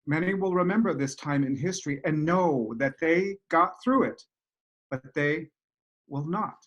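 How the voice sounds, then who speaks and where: A man giving a solemn dramatic reading of a written memorial tribute, as an actor. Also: a little high.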